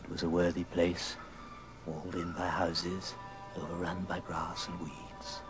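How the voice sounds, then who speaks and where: A man's voice: low-pitched, level tone, a little slow. A man narrating an old radio ghost drama as a grave storyteller, solemn and dramatic. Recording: old record with music underneath.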